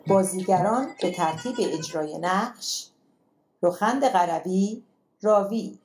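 A woman's voice: mid-range voice, a little mellow, faint music behind. A woman reading scripted credits as the announcer of a radio play.

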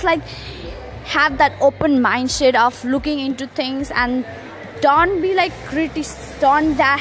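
Upbeat young Nepali woman, high voice. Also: slight background noise. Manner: upbeat motivational, many fillers and restarts. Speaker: podcast host. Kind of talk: casual solo podcast monologue.

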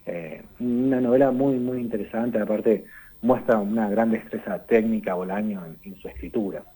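A man, giving a conversational radio literature column and explaining things unhurriedly, often pausing on "eh" and tagging his sentences with "¿no?". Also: on the low side, warm tone, breathy edge, poor audio. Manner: unhurried and explanatory, tags lines with "¿no?" and "eh".

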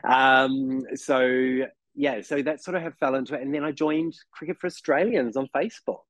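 A man's voice: mid-range voice, fairly even intonation, moderate pace, a bit bright, no echo. A man in his forties with a New Zealand accent, chatting as a podcast guest and rambling with lots of "you know" and restarts. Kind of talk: casual chat.

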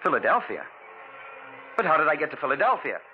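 A man's voice: fairly high pitch, very animated, quick pace, soft background music. An actor playing a troubled, earnest man in a 1940s radio drama, heard on a muffled, noisy old recording. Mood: bewildered, shaken.

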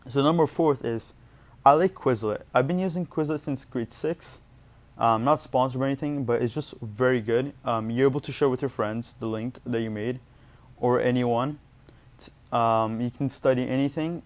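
A young man whose first language is Portuguese, giving study tips in a casual, rambling vlog and often correcting himself mid-word. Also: mid-range voice. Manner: rambling, self-correcting, chatty. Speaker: student vlogger.

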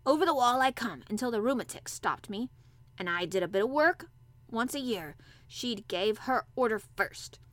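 A woman playing a crabbed, dry old gardener man with a Yorkshire accent, gruff and emphatic, in a storybook reading. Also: medium pitch, animated, normal speed, bright, forceful tone, dry recording. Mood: stubborn, tender. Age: older.

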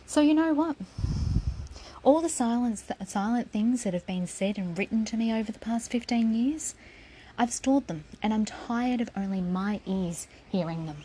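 A woman's voice: on the high side, normal speed, mellow, slight background noise, poor audio. A woman giving a raw, defiant personal spoken essay, swearing freely and sometimes dropping to a whisper.

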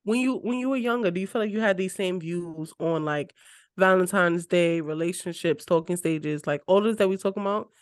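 A woman's voice: on the low side, a little fast, dry recording, clean studio sound. A woman in her twenties co-hosting a podcast, chatting casually, teasing and cursing, often breaking into laughter. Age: 20s. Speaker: podcast co-host. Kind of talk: casual chat.

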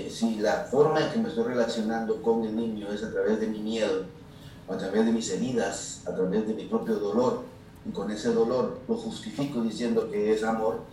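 A man's voice: on the low side, dark tone. A man hosting a radio talk, explaining child development in a thoughtful way with many restarts. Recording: very echoey, distant, faint noise.

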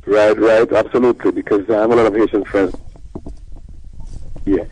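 A man from Dominica, a reggae musician, answering questions in a radio interview in an earnest, halting way. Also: on the low side, steady tone, darkish tone, husky, rough recording.

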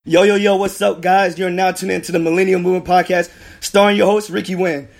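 A young man hosts an interview with a hoarse, worn voice, as if he has partly lost it. He talks quickly in a slightly high register, keeping things hyped and informal with plenty of fillers. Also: level tone, faint music behind. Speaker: podcast host. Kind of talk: casual interview chat.

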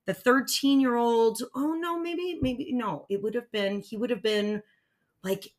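A woman in her forties with a raspy voice, co-hosting a podcast in spunky, excitable casual chat. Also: fairly high pitch, measured pace. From United States. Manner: spunky, excitable, lots of fillers. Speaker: podcast co-host.

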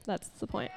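A woman's voice: sits high, gravelly, in a large hall, a little hiss or hum. A young woman pastor giving an informal, candid sermon, sounding congested and nasal, chatty and self-deprecating with lots of fillers. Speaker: pastor and worship leader. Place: church hall.

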